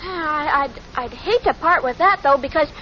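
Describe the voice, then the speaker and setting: A woman's voice: high-pitched, lively intonation, moderate pace, crowd noise, background noise. An actress playing a sweet, scatterbrained young woman in 1940s radio sitcom style, naive, earnest and hesitant. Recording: muffled old radio recording with audience.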